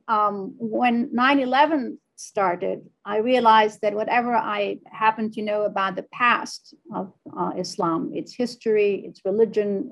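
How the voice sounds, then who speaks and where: A woman who grew up in Tunisia, a philosophy department chair, answering interview questions in a measured, thoughtful way with frequent ums. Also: medium pitch, vocal fry, poor audio.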